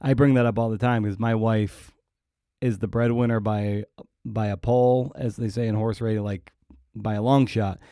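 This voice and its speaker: A man with a very mellow, dark voice hosts a conversational interview. He thinks aloud in wordy, halting stretches, and the sound is very dry with no room echo. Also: fairly low pitch, steady tone. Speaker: podcast host, writer.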